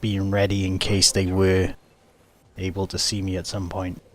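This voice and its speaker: Low-voiced man explaining gameplay. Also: completely flat delivery, moderate pace, a bit bright. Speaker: gaming video host. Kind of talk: casual game commentary, explanatory. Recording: faint background noise.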